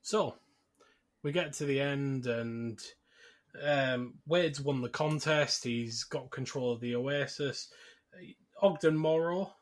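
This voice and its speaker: A man's voice: medium pitch, slow. A man hosting a podcast, recounting a film casually and jokily, acting out bits as he goes. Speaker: podcast host. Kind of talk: casual film recap chat.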